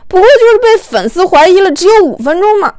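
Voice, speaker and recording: A woman's voice: very high voice, sing-song, fast, darkish tone, no echo, clean studio sound, voice pushed up. A woman voicing a feisty young actress wife who exclaims and pleads with her husband in an audiobook. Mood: indignant.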